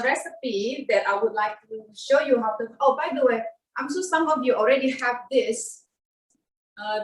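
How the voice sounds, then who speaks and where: A woman's voice: a little high, slight background noise. Woman from Indonesia, echoey and distant. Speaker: cooking demonstrator. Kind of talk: cooking demonstration. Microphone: video call. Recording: echoey room, far from the mic.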